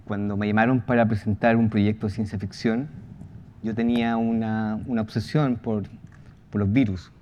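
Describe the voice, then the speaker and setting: A man's voice: fairly low pitch, little pitch movement, moderate pace, darkish tone. A man speaking informally at a talk as a creator of audio fiction. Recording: dull, lo-fi with clear background noise.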